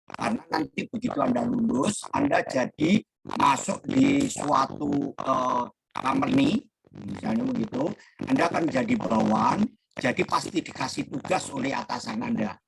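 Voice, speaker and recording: A middle-aged male lecturer with a raspy, hoarse voice, giving an online lecture over a computer mic and explaining slowly and deliberately. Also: mid-range voice, wildly swooping pitch. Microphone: computer mic on a video call. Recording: noisy call line.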